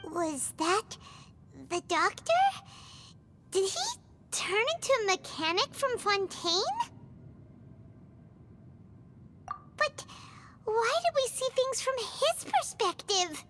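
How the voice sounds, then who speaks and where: Casting: tiny chirpy game sidekick fairy, naive, extremely high and squeaky, bouncy intonation. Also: female voice, big pitch swings, slow pace, bright, forceful tone, slight background noise, exaggerated voice. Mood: puzzled. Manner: squeaky, speaks of herself in third person. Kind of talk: cartoonish video game voice acting.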